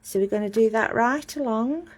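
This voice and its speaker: A woman's voice: on the high side, moderate pace. A woman giving a casual live drawing lesson, chatty and self-mocking as she talks through each step while drawing. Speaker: drawing teacher.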